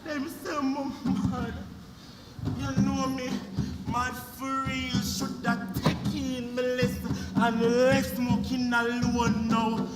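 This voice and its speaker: High-voiced Jamaican teen chanting dub poetry. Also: male voice, little pitch movement, takes it slowly. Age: teens. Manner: chanting, rhythmic Patois recitation. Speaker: student dub poet. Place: large school hall. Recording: echoey hall with music.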